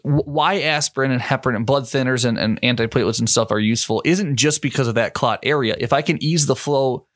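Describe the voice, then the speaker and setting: A man's voice: medium pitch, fast, few pauses, a bit bright, dry recording, studio quality. A man co-hosting a medical podcast, a nurse talking loosely with lots of "like" and restarts. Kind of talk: casual medical chat.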